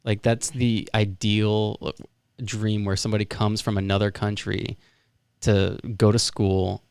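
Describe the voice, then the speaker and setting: A man hosting a podcast, asking casual questions with halting restarts and easy laughter. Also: on the low side, little pitch movement, vocal fry, darkish tone, smooth, no echo. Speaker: podcast host. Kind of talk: casual interview.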